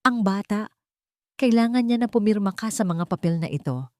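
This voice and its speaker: A woman's voice: mid-range voice, expressive, moderate pace, darkish tone, dry recording, clean studio sound, voice pushed up, natural voice. A woman with a Filipino accent voicing a weary rich man who pleads earnestly and with restraint in an audio romance story. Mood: weary pleading.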